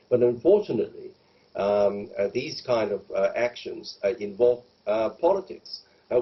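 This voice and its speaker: A man giving unscripted commentary as a debate guest, hesitant and halting, hedging as he goes. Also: on the low side, breathy edge, dull audio.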